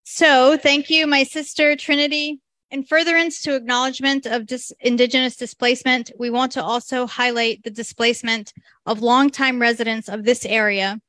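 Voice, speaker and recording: A woman hosting a festival, giving a deliberate, declarative opening address. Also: fairly high pitch, pushed and bright, no room sound. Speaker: festival organizer and host.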